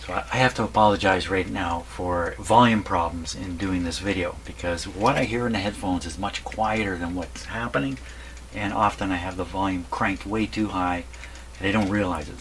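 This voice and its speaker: Low-voiced man thinking aloud over hum. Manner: halting, thinking aloud while working. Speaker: host of a radio repair video. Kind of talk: casual hands-on demonstration commentary. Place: radio workshop. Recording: hum and clear background noise.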